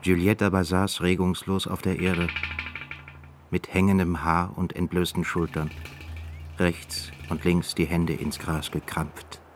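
A man narrating a radio play in a measured, solemn storytelling manner. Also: deep voice, little pitch movement, noisy background.

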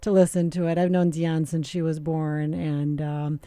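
A middle-aged woman talking as a winemaker guest in a casual radio interview, relaxed and chatty, with many filler pauses and easy laughter. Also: sits low, no room sound.

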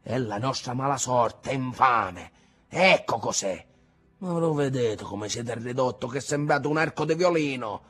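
A man voicing a bitter, rebellious young man in an audiobook, loud and scornful. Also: medium pitch, lively intonation, measured pace, husky. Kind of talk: audiobook character reading.

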